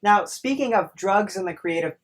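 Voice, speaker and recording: A woman's voice: mid-range voice, lively intonation, moderate pace. A woman interviewing casually as a podcast host, in a hesitant, encouraging manner.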